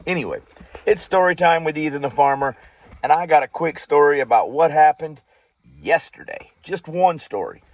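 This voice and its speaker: A man with a Southern US accent telling a story informally in a folksy, sardonic way, with drawn-out emphasis and rustic slang. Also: on the high side, expressive, no echo. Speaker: vlogger telling personal stories. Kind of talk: informal storytelling monologue to camera. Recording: dull lo-fi audio.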